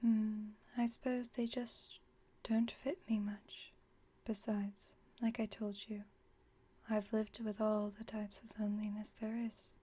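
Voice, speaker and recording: A woman playing an aloof, wry vampire friend with a secretly caring side, in a soft, breathy ASMR roleplay, speaking in a dry, teasing, unhurried way. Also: medium pitch, level tone. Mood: wry, gently comforting. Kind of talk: ASMR roleplay, intimate monologue.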